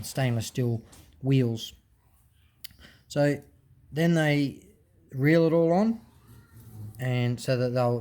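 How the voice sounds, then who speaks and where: A man's voice: medium pitch, warm tone. A man casually explaining a hands-on teardown, talking off the cuff with frequent ums and restarts. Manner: unscripted explaining with fillers and restarts. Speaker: hobbyist presenter. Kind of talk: casual show-and-tell teardown.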